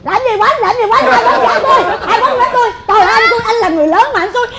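A very high-voiced, brash middle-aged southern Vietnamese mother-in-law in a stage comedy. She exclaims, boasts and repeats herself theatrically, over clear audience noise. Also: expressive, fairly quick, a loud crowd. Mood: outraged scolding. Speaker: comic stage actress. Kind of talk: broad stage comedy acting. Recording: live stage with loud audience.

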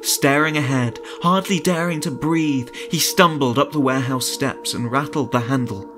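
A man narrating a horror story in a dramatic, suspenseful and deliberate audiobook style. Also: mid-range voice. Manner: suspenseful, deliberate, theatrical delivery. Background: music.

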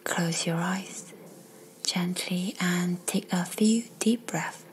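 A woman softly narrating a scripted guided meditation in a breathy, near-whispered, soothing delivery. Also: on the low side, fairly even intonation, slow, a little hiss or hum, rough recording. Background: music.

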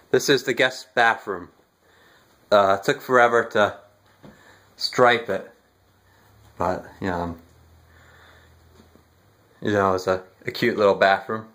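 A young man giving a casual walking tour of his house, relaxed and halting with lots of fillers, recorded on a handheld phone indoors. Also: lowish voice. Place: inside a house, walking room to room. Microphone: handheld phone camera, filming rooms.

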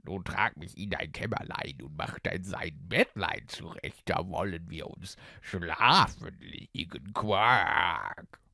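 Demanding storybook frog, deep, gravelly croak, insistent manner, quacking. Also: male voice, lively intonation, pushed and bright, dry recording, studio quality, exaggerated voice. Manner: slow, drawn-out, insistent.

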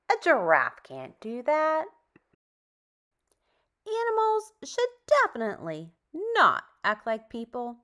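A woman in her thirties hosting a read-aloud for preschoolers, with sing-song, exaggerated, animated enunciation. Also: high-pitched. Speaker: storytime host for young children.

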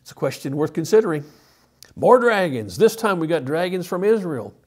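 A man's voice: on the high side, big pitch swings, moderate pace, darkish tone, husky. A man, presenting a lecture as a teacher, emphatic and given to rhetorical questions. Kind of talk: illustrated lecture to camera. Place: indoor studio.

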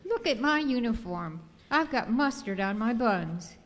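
A woman's voice: a little high, animated, measured pace. A woman playing a bossy, officious ship's first officer in a radio skit. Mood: indignant. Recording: echoey hall.

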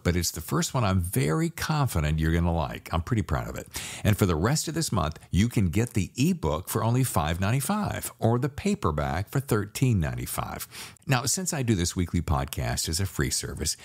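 Low-pitched, animated American male podcast host. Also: normal speed, breathy edge. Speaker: podcast host and thriller author. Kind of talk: upbeat scripted podcast narration and interview hosting.

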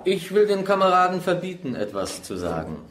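An actor playing a boisterous, mocking soldier in a German radio play. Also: male voice, high voice, wildly swooping pitch, fairly quick. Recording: roomy sound, noise behind.

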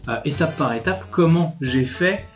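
A man's voice: fairly high pitch, lively intonation, moderate pace. Man chatting over music. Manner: informal, conversational. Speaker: video host, animator. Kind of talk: casual explanatory vlog talk to camera. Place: indoors, living room with a desk. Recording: music playing behind the voice. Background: music with guitar.

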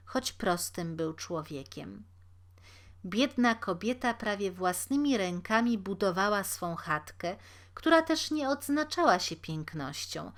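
A woman narrating a literary fairy tale aloud in an expressive, deliberate storytelling manner. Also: mid-range voice.

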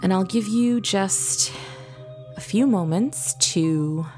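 A woman leading a guided visualization meditation in a gentle, soothing, instructive manner with long pauses. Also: mid-range voice. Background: background music.

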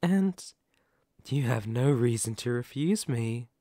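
A man voicing a sadistic, possessive werewolf, wounded and menacing, in a dramatic ASMR roleplay monologue with drawn-out pauses. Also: mid-range voice, expressive, warm tone, no room sound, clean studio sound. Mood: pleading, possessive.

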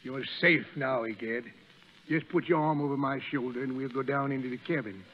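A man's voice: mid-range voice, brisk, dull audio, lightly acted. An actor playing a feisty, protective old Southern father in a 1930s radio drama, blustery and folksy. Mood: reassuring. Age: older.

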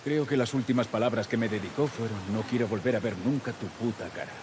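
A man's voice: lowish voice, fast, background noise, lightly acted. A man playing a terse, guarded middle-aged survivor in a video game, with a Castilian Spanish accent. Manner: terse, gruff. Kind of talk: naturalistic video game acting.

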